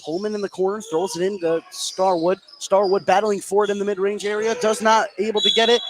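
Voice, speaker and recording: A man calls a basketball game live as the play-by-play commentator. His voice sits a bit high and gets excitable on big plays. The recording has clear noise behind him. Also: a little fast. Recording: clearly noisy live sports broadcast.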